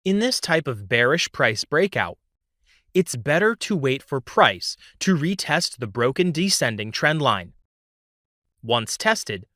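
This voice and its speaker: A man reading a scripted instructional narration in a measured, didactic way as a tutorial voice-over. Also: mid-range voice, fairly bright tone, no echo, studio quality.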